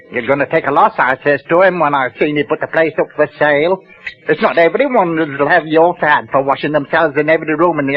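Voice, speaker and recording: A gossipy rustic old gardener. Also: male voice, sits high. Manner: rambling, dialect speech, gossipy. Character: chatty rustic old male gardener, nosy. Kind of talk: 1940s radio acting. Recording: dull lo-fi 1940s radio broadcast.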